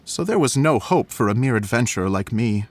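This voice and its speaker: A man's voice: mid-range voice, expressive, brisk, a little hiss or hum, natural voice. A man playing a dry, candid, resigned young adventurer in an anime video game. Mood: resigned, sardonic. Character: wry young male adventurer, resigned card player.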